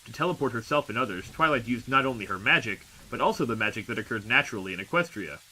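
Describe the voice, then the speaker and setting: Guy doing audiobook narration, really bright forceful voice, medium pitch, expressive storytelling. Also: fast talker, slight background noise, low-quality recording. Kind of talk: scripted dramatic story narration.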